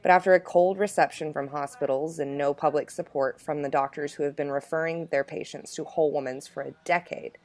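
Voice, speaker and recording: A woman reporter reading a scripted documentary narration in a measured, even way. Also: deep voice.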